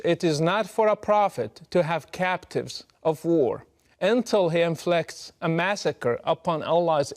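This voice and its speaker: A man from Morocco with a Moroccan Arabic accent delivers a prepared political address in an earnest, emphatic and measured way. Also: fairly high pitch.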